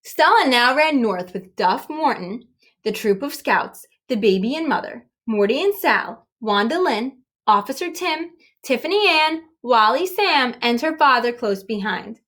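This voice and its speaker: A woman with a high voice reads a picture book aloud. She is expressive and emphatic, speaking as a storyteller does to young children. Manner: emphatic, acts out dialogue, pauses to address young listeners.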